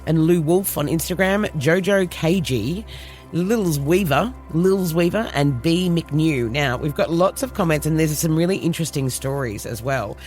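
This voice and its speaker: A man's voice: on the high side, lively intonation, normal speed, darkish tone, soft background music. A man from New Zealand co-hosting a casual podcast, joking around and reading listener messages aloud. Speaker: podcast co-host.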